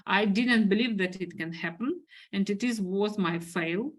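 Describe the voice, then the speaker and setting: A woman from Ukraine with a Ukrainian accent, giving a webinar talk over a video call, halting and full of fillers as she searches for words. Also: medium pitch. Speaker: guest speaker, business owner. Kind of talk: webinar presentation.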